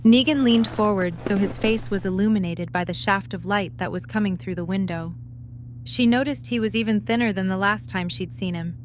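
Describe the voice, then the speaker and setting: Woman narrating an audio drama, medium pitch, hum and noise in the background. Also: normal speed.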